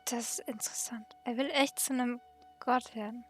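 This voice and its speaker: A woman's voice: a little high, fairly even intonation, brisk, faint music behind. A woman gamer reading on-screen dialogue aloud and adding short comments of her own. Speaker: gaming video creator.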